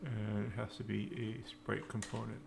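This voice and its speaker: A man giving a casual coding screencast, thinking aloud in halting, unscripted phrases. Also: on the low side, little pitch movement, vocal fry, background noise. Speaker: programming tutorial presenter.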